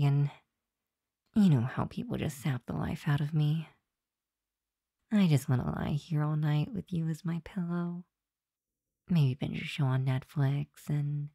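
A woman plays a sweet demon girlfriend winding down after work in an ASMR roleplay. Her voice is low and mellow, soft and confiding, full of tired affection. It is recorded close and dry. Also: unhurried, pauses often, no room sound. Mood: tired, cosy. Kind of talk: ASMR roleplay, intimate monologue to listener. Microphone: close microphone, dry room.

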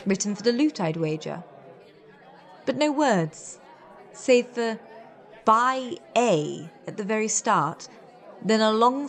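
A woman playing a haughty, sardonic, impatient queen with a dry, imperious manner in a historical comedy audio drama. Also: medium pitch.

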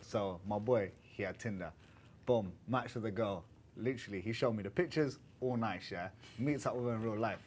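A man's voice: lowish voice, moderate pace, a little mellow, slight background noise. A young man with a London accent, telling a story in punchy, slangy bursts during a casual panel chat. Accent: London English. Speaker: panel guest. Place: small studio with grey backdrop.

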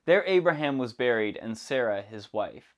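Young man, Bible teacher, scripted lesson, measured even reading, middle pitch, lapel mic, studio. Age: young adult. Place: dark studio with plants behind.

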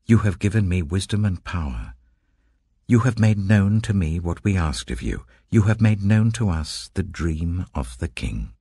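A man voicing a wise, devout prophet in a scripture audiobook, speaking slowly, solemnly and with declamatory weight. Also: sits low, expressive, warm tone, slightly rough, no echo, studio quality, full character voice. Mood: reverent praise.

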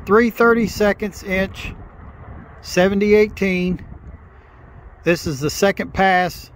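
High-voiced man teaching welding outdoors. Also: takes it slowly, a little hiss or hum. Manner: plain-spoken, repeats and restarts phrases while explaining. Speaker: welder teaching on camera. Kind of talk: hands-on welding tutorial. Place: outdoors by a log truck in a gravel yard.

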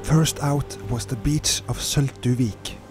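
A man of middle pitch narrates his sailing trip in a steady, measured voice-over. His phrasing is slightly non-native, and music plays clearly beneath him. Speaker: solo sailor narrating his voyage.

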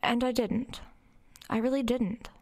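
A woman's voice: on the high side, steady tone, a little slow, darkish tone. A woman playing a brooding, eerily detached teenage girl who narrates her own story in a radio horror drama. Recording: music under the voice.

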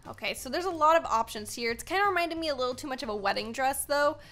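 Bright, high-pitched young American woman. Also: fast talker, soft background music. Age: young adult. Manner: chatty, expressive, exclaiming. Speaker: gaming video host. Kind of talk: casual playthrough commentary.

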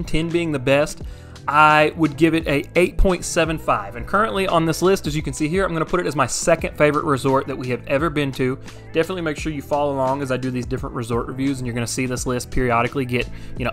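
A man's voice: fairly high pitch, a bit bright. A man in his thirties giving a casual, enthusiastic, conversational voice-over review as a vlog host. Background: background music.

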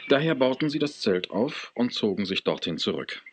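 A man's voice: mid-range voice, big pitch swings, normal speed. A man giving scripted narration for a children's audio story.

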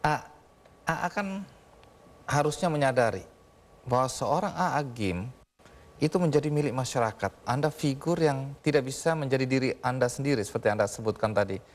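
A man's voice: mid-range voice, moderate pace. A middle-aged man hosting a television interview in a studio, asking direct, probing questions. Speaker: talk show host. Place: TV studio with live audience.

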